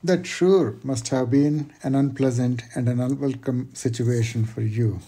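Male guest expert, mellow husky voice, measured explanatory delivery. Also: medium pitch. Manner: measured, explanatory, uses examples. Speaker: guest expert on workplace conflict. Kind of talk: advice in an interview.

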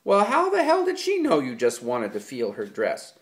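A man voicing an angry, exasperated ranch hand who rants loudly and bitterly. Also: mid-range voice, lively intonation, normal speed, natural voice. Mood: furious. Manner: loud, bitter ranting.

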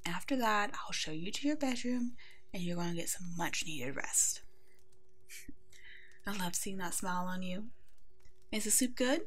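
Woman acting a gentle, motherly werewolf alpha. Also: mid-range voice, lively intonation, measured pace, long pauses. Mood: caring, reassuring. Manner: gentle, nurturing, soft-spoken, often slipping into whispers. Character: kind, protective female werewolf alpha, motherly rescuer. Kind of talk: ASMR roleplay acting, tender and soothing.

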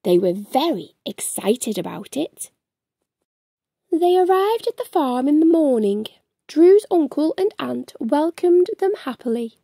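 A middle-aged woman hosting a children's storytime, reading aloud in an expressive, sing-song way. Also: high voice, unhurried, gravelly, no echo, studio quality. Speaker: children's storytime host and reader. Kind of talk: animated read-aloud for young children. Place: small furnished room at home.

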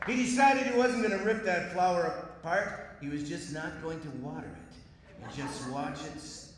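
High-pitched man improvising in echoey hall. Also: normal speed. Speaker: improv comedy performer. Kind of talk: improvised storytelling on stage. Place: theatre stage before an audience. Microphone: handheld stage microphone. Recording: echoey hall, background noise.

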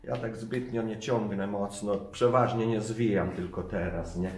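A man's voice: fairly low pitch, steady tone, a little slow. A man in his fifties from Poland chatting casually in short, clipped remarks while handling tools in a workshop. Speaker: mechanic trying out a power tool on camera. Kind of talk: casual chat. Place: large cluttered workshop garage, indoors. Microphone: camera a step or two away. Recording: roomy echoing workshop, loud background noise.

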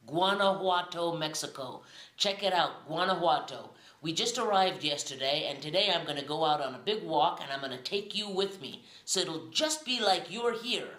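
A woman's voice: low voice, lively intonation, moderate pace, fairly bright tone. A middle-aged woman, chatting casually and emphatically to a camera held at arm's length, often repeating words for effect. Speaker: vlogger. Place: mostly outdoors in hilly town streets, partly indoors. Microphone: phone or camera at arm's length.

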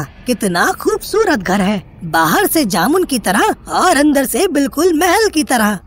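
A woman voicing a greedy, excitable male parrot in a cartoon, exclaiming dramatically. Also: high voice, full character voice. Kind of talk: animated moral tale acting.

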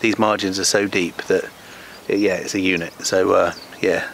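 A man in his forties with a lowish voice talks to camera in a loose, matey way. He is recorded outdoors, with faint natural noise around him. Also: steady tone, normal speed, vocal fry, fairly bright tone. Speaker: fishing vlogger. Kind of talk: casual chat to camera. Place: outdoors on a wooded lake bank.

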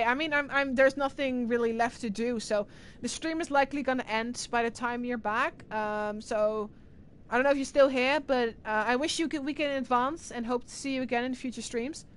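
A woman's voice: on the high side, fast talker, clean tone. A young woman with an Irish accent, chatting casually on a livestream from a room at home, rambling as she answers chat messages. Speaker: streamer.

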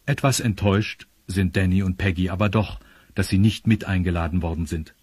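A man's voice: low voice, fairly quick, a little mellow, breathy edge, dry recording. A man narrating a children's audio drama from a script.

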